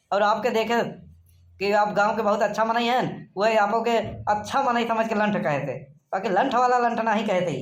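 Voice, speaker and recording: A woman performs a rustic village fellow in a comedy cartoon. The voice is fairly high. The delivery is blustery and argumentative. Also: close to an everyday voice. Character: rustic village males, cheeky, bickering, easily offended. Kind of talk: comic cartoon dialogue.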